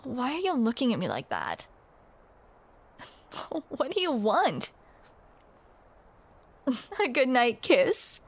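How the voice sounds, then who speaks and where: A woman's voice: high voice, huge pitch swings, slow, pauses often. A young woman performing a scripted romantic roleplay to the listener, with playful, teasing banter.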